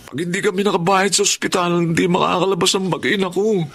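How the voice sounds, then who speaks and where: An actor playing a desperate, apologetic younger brother pleading in a Tagalog radio drama. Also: male voice, sits high, steady tone, fast talker, gravelly, fairly bright tone, husky, full character voice.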